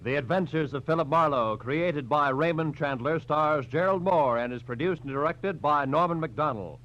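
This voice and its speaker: A man's voice: fairly high pitch, moderate pace. An American man reading scripted credits as a formal 1940s radio announcer. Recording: muffled noisy vintage radio recording with hum.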